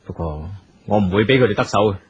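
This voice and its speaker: Bossy bitter older brother in radio drama, hong kong cantonese, raspy, swings up and down, bit lo-fi. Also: male voice, on the low side, animated, fast talker. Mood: bitter, weary. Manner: curt, scornful, authoritarian. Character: domineering, suspicious eldest brother, general manager in a wheelchair.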